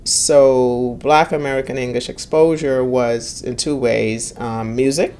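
Gravelly woman telling stories. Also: a bass voice, fairly even intonation, unhurried, mellow. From Guyana. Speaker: interviewee. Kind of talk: conversational storytelling.

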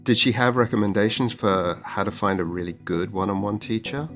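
A man's voice: fairly low pitch, fairly even intonation, fairly quick, a bit bright, soft background music. A man hosting a podcast in a conversational style. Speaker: podcast host.

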